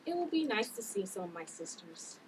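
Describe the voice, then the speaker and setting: A woman's voice: mid-range voice, big pitch swings, brisk, distant mic, lightly acted. A woman reading the part of a caring, exasperated sister who works as a TV producer, in a family drama table read. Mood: candid, slightly weary. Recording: noisy room, off the mic.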